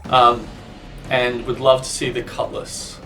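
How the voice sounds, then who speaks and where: A man talks through his own gameplay with a voice made a little croaky by a cold, working out his next moves aloud and poking fun at his own bad luck. He sits a little back from the mic, with faint music playing behind him. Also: mid-range voice, completely flat delivery, slow, pushed and bright, distant mic. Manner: self-deprecating, thinking aloud about strategy. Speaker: gaming channel host. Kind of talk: gameplay commentary.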